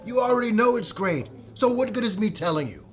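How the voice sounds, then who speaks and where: An easygoing network executive, voiced by a man in an audio drama, flattering and relaxed. His voice sits a little higher than most men's. Faint music plays behind him. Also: fairly quick. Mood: breezy. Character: smooth male network executive, breezy.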